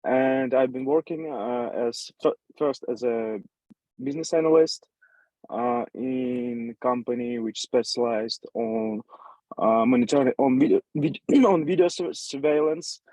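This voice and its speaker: A man introducing himself on a video call, hesitant with many pauses. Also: medium pitch, warm tone, no room sound, poor audio. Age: 20s. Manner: hesitant, many fillers and restarts. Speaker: workshop participant. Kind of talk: self-introduction.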